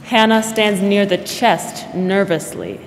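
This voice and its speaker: A woman's voice: medium pitch, slow pace, a little hiss or hum. A woman reading scripted narration for a radio drama. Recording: echoey stage hall, music behind.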